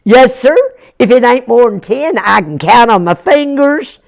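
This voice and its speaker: Brief: a woman playing humble teen farm boy, polite drawl, raised and gravelly. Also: on the high side, animated, a little slow, pitched above the performer's own voice. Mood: earnest, humble.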